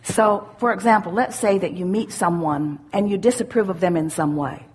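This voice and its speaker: Husky woman teaching deliberately. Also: lowish voice. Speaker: spiritual teacher answering audience questions. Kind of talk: live spiritual seminar talk, unscripted question and answer.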